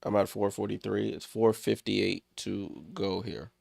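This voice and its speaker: A man's voice: fairly low pitch, steady tone, measured pace, creaky, darkish tone, no echo. A man hosting a live watchalong stream, calling the game in short clipped play-by-play bursts and chatting with viewers, a little under the weather and congested. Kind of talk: casual live play-by-play commentary.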